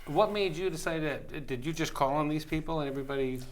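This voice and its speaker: A man's voice: fairly high pitch, quick pace. A man hosting a talk show in a casual, chatty style.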